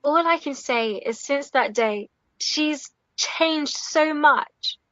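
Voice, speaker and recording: A woman's voice: high voice, slow pace, bright, forceful tone, dry recording, lo-fi sound, exaggerated voice. A woman playing a shy, earnest reformed outcast in a biblical audio play, hesitant and heartfelt.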